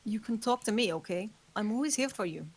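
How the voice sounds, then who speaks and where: A woman's voice: medium pitch, expressive, a little fast, slight background noise, lightly acted. A woman reading the part of a young female vampire who earnestly warns her roommate of danger, in a script table read. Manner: earnest, urgent warnings.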